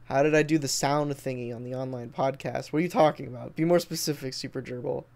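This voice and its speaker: An American man talks in a medium-pitched voice on a casual livestream. He rambles informally, drops the odd swear word and replies to viewers as he goes. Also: fairly even intonation, fast talker, gravelly, darkish tone. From Texas, United States. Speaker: livestreamer and video editor. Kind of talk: casual livestream chat, reading and answering comments.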